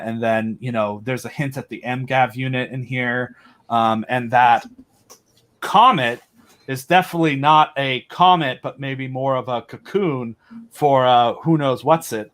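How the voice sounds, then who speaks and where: A man talking casually and enthusiastically as a podcast guest, animated and joking, with wry asides. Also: mid-range voice. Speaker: podcast guest, audio drama creator.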